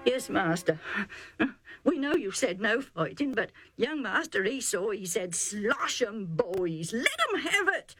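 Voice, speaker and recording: A woman voicing a rough, excitable male herdsman who pleads urgently in rustic dialect. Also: a little high, slow, no echo, voice pushed up, full character voice. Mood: aggrieved pleading.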